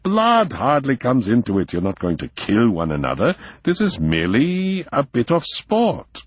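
Man voicing eager young student, tipsy, raised lively voice, dry husky tone. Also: medium pitch, moderate pace, no echo, voice pushed up. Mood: enthusiastic. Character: excitable, tipsy young German student, expansive.

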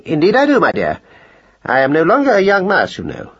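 A man's voice: medium pitch, very animated, moderate pace, husky, highs cut off, exaggerated voice. A man voicing a pompous, long-winded elderly male mouse in a children's audiobook, pedantic and hesitant, with formal afterthoughts. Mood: earnest, flustered.

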